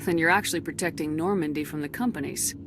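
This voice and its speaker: An actress as a no-nonsense female space commander in a game. Her voice is on the low side and she talks in firm, direct lines. Music is clearly audible underneath. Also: fast talker, lightly acted. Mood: firm, questioning. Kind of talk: scripted video game acting.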